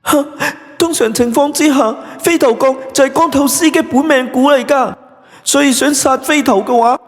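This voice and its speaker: A high-voiced young Cantonese woman, played by an actress in an audio drama, expert in witchcraft. She is expressive and huffy, bossy but easily embarrassed, and the sound is dry and close. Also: moderate pace, no room sound, close to an everyday voice. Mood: earnest, knowing.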